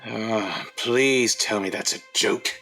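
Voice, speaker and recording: An actor playing an anxious, exasperated Edwardian husband, pleading in an audio drama. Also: male voice, fairly low pitch, takes it slowly, gravelly, music in the background. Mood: flustered. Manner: fretful, pleading.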